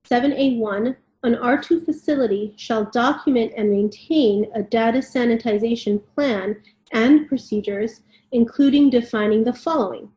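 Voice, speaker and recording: A woman's voice: on the high side, normal speed, dark tone. A woman co-hosting, reading a formal standard aloud and discussing it informally. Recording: echoey room.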